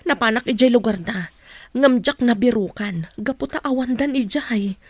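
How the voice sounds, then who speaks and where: A woman's voice: fairly high pitch, moderate pace, dry recording. A woman with an Ilocano accent playing an outspoken, protective family friend in a radio drama, earnest and emphatic. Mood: regretful. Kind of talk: Filipino radio drama acting.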